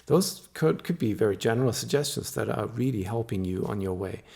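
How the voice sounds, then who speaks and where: A middle-aged man whose first language is German, a hypnotist talking to camera in a calm, explanatory and softly persuasive way. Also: lowish voice, brisk, vocal fry. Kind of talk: solo talk to camera.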